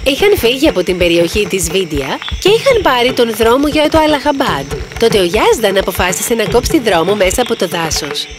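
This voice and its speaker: A woman's voice: fairly high pitch, very animated, few pauses, over music. A woman reading scripted storybook narration as the narrator.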